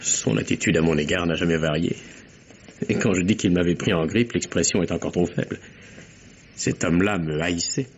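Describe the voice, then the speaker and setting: An actor playing an earnest secretary in a vintage French radio mystery, speaking in a measured, formal and precise way. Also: male voice, low voice, husky. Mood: grave, composed. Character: earnest male secretary recounting a deadly affair. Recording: dull vintage radio recording, faint hiss.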